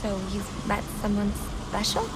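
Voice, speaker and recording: An actress playing an earnest young female psychiatrist in a video game cutscene, lonely and captivated, probing gently in a measured, hesitant way. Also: medium pitch, big pitch swings, heavy background noise. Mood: curious, probing.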